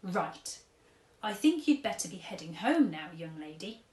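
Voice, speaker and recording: A woman voicing a kindly, wise old man who talks gently in an old-fashioned way, in an echoey room. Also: fairly low pitch, big pitch swings, a little slow. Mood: cheerful.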